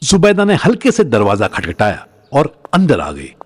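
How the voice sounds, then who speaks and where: A man's voice: mid-range voice, huge pitch swings, moderate pace, a little hiss or hum. A man narrating a scripted audio drama in a dramatic storytelling style.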